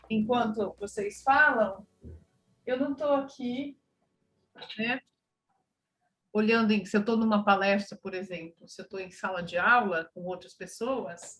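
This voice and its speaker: A woman with a Brazilian Portuguese accent explaining her points on a panel in a measured way. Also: a little high, fairly bright tone. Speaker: language school speaker. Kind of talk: panel talk.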